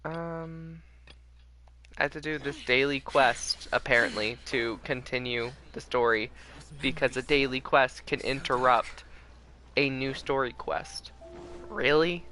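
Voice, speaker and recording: A man's voice: mid-range voice, unhurried, bright, forceful tone. A man streaming a video game with casual, playful, rambling chat and a giggly manner. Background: faint game music.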